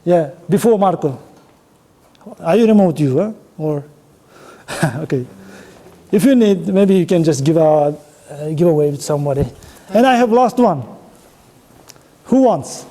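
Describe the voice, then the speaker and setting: A man with an Uzbek accent speaking halting, choppy English as he runs an informal interactive talk with an audience. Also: fairly high pitch, lively intonation, dark tone. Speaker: conference speaker running an audience networking game.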